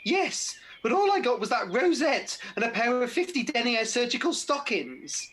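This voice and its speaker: A chatty, good-hearted pantomime mum, played by a woman, with a highish voice that swings widely. She has a dry, hard-up homeliness. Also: very animated, normal speed, a bit bright. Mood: chatty, pleased. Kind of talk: pantomime radio acting.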